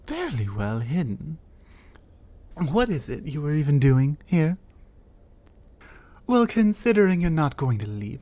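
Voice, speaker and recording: A woman voicing a large, territorial female cave troll who speaks with scorn and lectures in a deliberate way, in an ASMR audio roleplay. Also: deep voice, mellow, no room sound. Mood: scornful.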